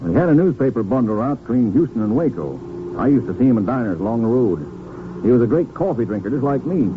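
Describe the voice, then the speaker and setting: An actor playing an earnest, folksy, talkative small-town sheriff in an old-time radio western. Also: male voice, medium pitch, sing-song, fast, narrow, tinny audio, background noise, close to an everyday voice. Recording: band-limited 1950s radio recording.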